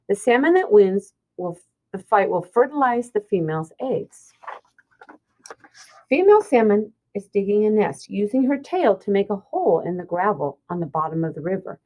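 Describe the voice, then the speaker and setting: A woman's voice: medium pitch, a little mellow, rough recording. A woman teacher reading a children's science book aloud, explaining and pointing things out simply.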